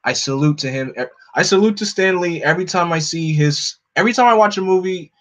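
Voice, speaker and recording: A man hosting a casual live stream, talking in an opinionated, animated way that jumps up in pitch when he gets excited. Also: fast talker. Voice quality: no regional accent. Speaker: streamer host. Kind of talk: casual chat to a live audience. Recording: dull lo-fi home stream audio.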